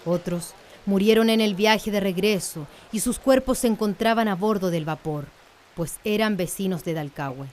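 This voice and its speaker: A woman's voice: medium pitch, moderate pace, darkish tone, slight background noise. A woman narrating a scripted radio drama in a solemn storytelling manner. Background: faint music.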